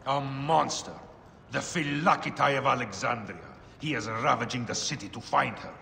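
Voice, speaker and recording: A man's voice: mid-range voice, moderate pace, creaky, bright, forceful tone, big-room sound, a little hiss or hum. A man playing a pompous, theatrical and secretive scholar poet in a video game. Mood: grave, confiding. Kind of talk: video game cutscene acting.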